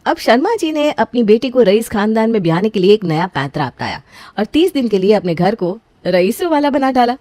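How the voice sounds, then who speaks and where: A woman's voice: a little high, very animated, fairly quick, warm tone, no room sound. A woman reading a scripted story as its narrator.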